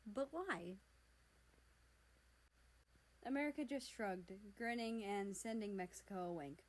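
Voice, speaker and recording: A woman reading fan fiction aloud as an expressive narrator. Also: medium pitch, moderate pace, rough recording.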